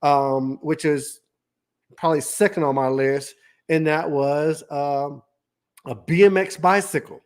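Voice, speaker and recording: A man, co-hosting a podcast in a relaxed, joking way and telling stories at length. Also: on the high side, smooth. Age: 50s. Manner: easygoing, joking, drawn-out storytelling. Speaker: podcast co-host. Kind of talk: casual chat.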